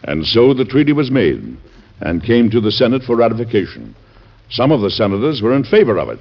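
An actor playing a dignified, warm president in an old radio drama, speaking in a measured, folksy storytelling way. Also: male voice, mid-range voice, huge pitch swings, creaky, exaggerated voice. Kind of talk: 1940s radio drama acting and narration. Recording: muffled old radio recording.

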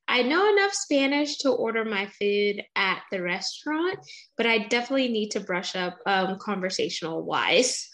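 A woman's voice: mid-range voice, animated, normal speed, fairly bright tone, poor audio. A woman hosting a podcast interview, upbeat and chatty, with casual fillers. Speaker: podcast host.

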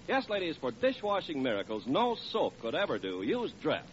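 Animated 1940s male radio announcer. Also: fairly high pitch, normal speed. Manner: polished, emphatic, salesmanlike. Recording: muffled, faintly hissy vintage radio.

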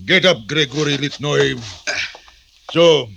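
A man's voice: a little high, slow pace, vocal fry, bright, forceful tone, a little hiss or hum, exaggerated voice. An actor playing a cruel, imperious general and father in a 1950s radio drama, commanding and menacing. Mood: stern, impatient. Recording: vintage radio recording.

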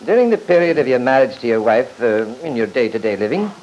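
A man's voice: medium pitch, big pitch swings, darkish tone, lightly acted. Man playing shrewd courtly lawyer, noisy vintage radio. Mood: probing. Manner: measured, probing, formal, rhetorical. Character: shrewd, courtly male lawyer questioning a witness. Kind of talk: old-time radio drama acting.

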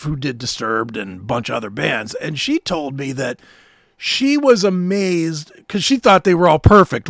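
A man's voice: sits high, vocal fry, a bit bright, dry recording, studio quality. An American man hosting a radio show, interviewing a band conversationally with long, wordy questions.

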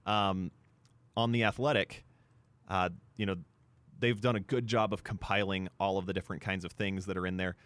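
A man's voice: sits low, level tone, moderate pace, a bit bright, clear, resonant tone, no echo. A man co-hosting a sports talk show, explaining things point by point in a discussion. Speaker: sports radio show co-host.

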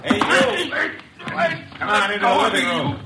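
A man's voice: sits high, wildly swooping pitch, a little slow, full character voice. An actor playing a swaggering man who barks mock-polite, menacing orders in a classic radio mystery. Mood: threatening. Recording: echoey, very noisy old radio recording.

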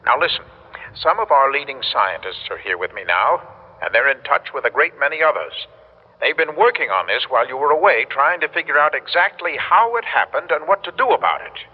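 An earnest, insistent male official in a classic radio play. He explains things formally and urgently, with an animated melody. The recording is dull, with a long hall reverb around him. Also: medium pitch, fairly quick, slightly rough. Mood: relieved, eager. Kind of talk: classic radio drama acting.

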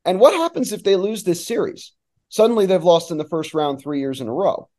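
A man's voice: high voice, lively intonation, brisk, dark tone. A man talking as a sports announcer guest, giving emphatic, opinionated analysis in a conversational way.

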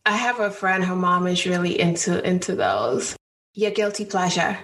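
A woman's voice: lowish voice, expressive, normal speed, fairly bright tone. A woman hosting an interview, asking questions in an enthusiastic, encouraging way. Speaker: interview host. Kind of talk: casual interview chat. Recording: distant mic with faint background noise.

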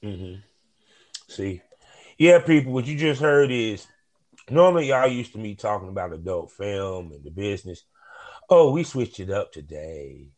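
A man's voice: on the low side, lively intonation, measured pace, darkish tone. A man hosting a casual podcast interview, talking in a laid-back, slangy drawl. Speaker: podcast host.

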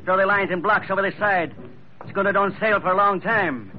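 An actor playing a ruthless, scheming pearl poacher in 1940s radio acting style, talking low and menacing. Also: male voice, fairly quick, lightly acted. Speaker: pearler Labrado. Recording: dull old radio broadcast, faint hiss.

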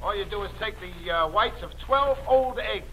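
An actor playing a mocking, wisecracking audience heckler in a 1940s radio comedy, on an old broadcast recording. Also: male voice, high voice, moderate pace, pushed and bright. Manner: mocking, needling. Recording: noisy vintage radio with audience.

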